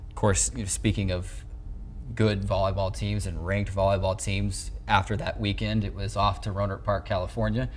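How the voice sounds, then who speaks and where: Even-toned young American man interviewing. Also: deep voice, moderate pace, a little hiss or hum. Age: 20s. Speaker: sports show host, interviewer. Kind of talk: conversational sports interview. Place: small indoor locker-room set. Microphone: lapel mic.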